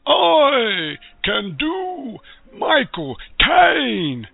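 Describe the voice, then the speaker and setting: A boastful old vampire for a comic radio sketch, theatrical and swooping, with a creaky edge. He savors his own showy delivery over music, in a dull lo-fi recording. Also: very high-pitched, huge pitch swings, very slow, no room sound, heavily acted. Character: boastful old male Dracula, hammy vampire doing impressions.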